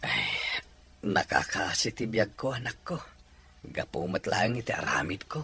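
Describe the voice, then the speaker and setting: Man acting a remorseful ex-convict father, sharp-edged voice. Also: fairly low pitch, animated, husky, heavily acted. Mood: remorseful, despairing. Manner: sighing, halting, pleading. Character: remorseful, despairing ex-convict father returning home. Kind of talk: emotional Ilocano audio drama acting.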